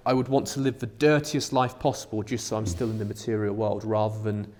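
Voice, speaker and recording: A man's voice: lowish voice, level tone, fairly quick, darkish tone. A man in a casual, informal discussion, thinking ideas through reflectively as a podcast co-host. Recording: long reverberant room sound.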